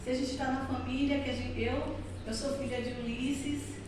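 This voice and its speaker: A woman's voice: high-pitched, little pitch movement, moderate pace. A middle-aged woman from Salvador with a Bahian accent, giving an earnest spiritist lecture that leans on repetition and tag questions. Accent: Bahian Brazilian Portuguese. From Salvador, Bahia, Brazil. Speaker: spiritist speaker. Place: spiritist center hall. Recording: echoey hall from far off, noisy.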